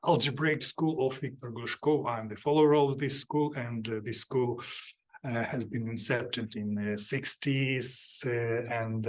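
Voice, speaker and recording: A man giving an academic seminar talk, halting with many fillers and restarts. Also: medium pitch. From Ukraine. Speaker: seminar speaker.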